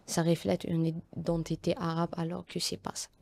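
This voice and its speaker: A young woman from Algeria speaks, her being Tamazight. Her voice sits low and barely moves in pitch, with a soft, dark tone. She answers interview questions haltingly, full of fillers and restarts. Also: completely flat delivery, gravelly. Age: young adult. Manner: hesitant, frequent fillers and restarts. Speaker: guest author.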